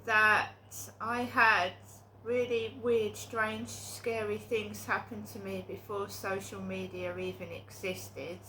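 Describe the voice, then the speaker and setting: A woman's voice: medium pitch, sharp tone, slight background noise, low-quality recording. A middle-aged woman with a cold and sore throat, talking in a rambling, hesitant monologue full of ums and restarts. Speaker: vlogger.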